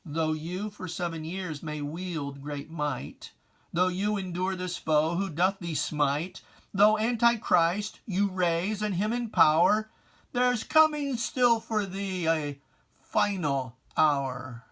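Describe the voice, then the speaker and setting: A person giving a dramatic, solemn reading of religious verse, declaiming emphatically with deliberate pauses and archaic preacherly diction. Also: mid-range voice, bright, forceful tone. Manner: archaic preacherly diction, addressing a foe directly.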